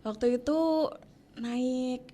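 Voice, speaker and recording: A young woman from Papua, a university student and podcast guest, answering casually with many pauses and fillers. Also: a little high, little pitch movement, warm tone. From Papua, Indonesia. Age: young adult.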